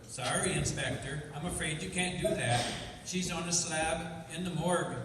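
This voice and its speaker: Dutiful police underling, played by a man, slightly high voice, matter-of-fact, radio play in a very echoey hall. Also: moderate pace, far from the mic, noisy background, close to an everyday voice. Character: deferential male police subordinate reporting to his inspector. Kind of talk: old-time radio detective drama acting.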